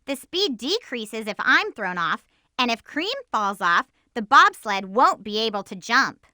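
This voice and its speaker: A woman voicing an energetic, determined young girl heroine in a video game, with a perky, emphatic cartoon delivery. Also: on the high side, measured pace, no room sound, studio quality, heavily acted. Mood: instructive, perky. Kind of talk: video game voice acting, tips and battle shouts.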